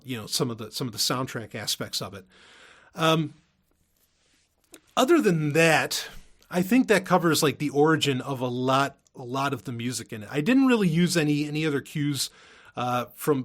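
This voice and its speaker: A man hosting a podcast in a casual, unscripted monologue, often interrupting himself and drifting into asides. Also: medium pitch. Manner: rambling, self-interrupting, with frequent hedging asides. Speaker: podcast host.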